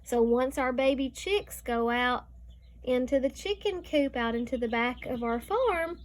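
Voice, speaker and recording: A woman teacher reading a picture book aloud to young students, slowly and deliberately, stretching words and adding teaching asides. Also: high voice.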